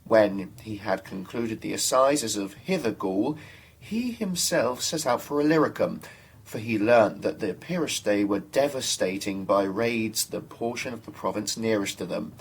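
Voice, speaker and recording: A young man giving a dramatic reading of a historical text, formally and deliberately, phrase by phrase. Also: fairly low pitch, lively intonation, husky. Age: young adult. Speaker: student reader.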